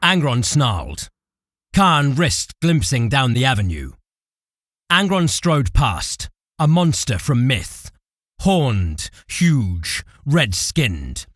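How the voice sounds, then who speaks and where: Man narrating dramatically, very animated. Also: mid-range voice, slow, no room sound, studio quality. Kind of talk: dramatic scripted fiction narration.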